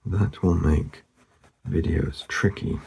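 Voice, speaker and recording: A man narrating casually as he draws, laid-back and murmuring, with a husky, gravelly voice that often trails off. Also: low-pitched, steady tone, takes it slowly, a little mellow. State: losing his voice, hoarse. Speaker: artist hosting a drawing channel. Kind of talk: casual narration while drawing.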